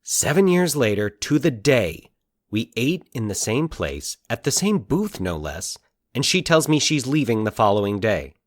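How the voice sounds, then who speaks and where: A man's voice: medium pitch, sing-song, unhurried, no echo, clean studio sound. A man voicing a baffled, brooding young man who muses to himself in a fiction podcast story. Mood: incredulous. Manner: puzzled, musing to himself. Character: Mike, baffled, brooding young man investigating eerie coincidences. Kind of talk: fiction podcast dialogue within narration.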